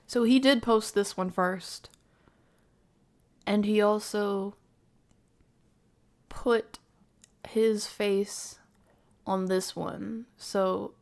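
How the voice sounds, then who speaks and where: Female stream host, medium pitch, reacting live and informally, working thoughts out aloud as she goes. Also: darkish tone. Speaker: livestream host reacting to a video. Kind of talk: casual live reaction commentary.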